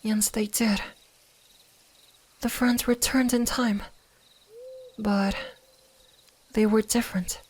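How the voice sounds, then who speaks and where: Soft, slow, gentle fox-spirit girl acted by a woman. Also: a little high, steady tone. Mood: wistful, sorrowful. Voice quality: soft, breathy, half-whispered. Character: gentle, lonely young female kitsune fox spirit. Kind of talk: ASMR roleplay, soft bedtime storytelling.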